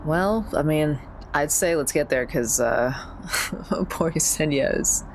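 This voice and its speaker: A woman's voice: deep voice, lively intonation, slow, slight background noise. A woman playing a tabletop roleplaying game, chatting informally and thinking aloud as she goes. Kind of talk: casual in-game chat.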